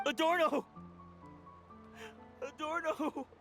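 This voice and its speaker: A man's voice: very high voice, steady tone, slow pace, over music, heavily acted. An actor playing a devoted young male medic full of self-doubt, in an emotional, faltering game performance. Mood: alarmed. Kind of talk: dramatic video game voice acting.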